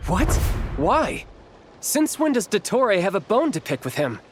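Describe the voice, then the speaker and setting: Cocky young fighter dude for a game, high voice, super animated and boyish. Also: male voice, unhurried. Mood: incredulous. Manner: expressive, boyish, dramatic. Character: excitable, cheeky young male warrior, one of the Harbingers. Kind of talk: English video game voice acting. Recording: game music behind the voice.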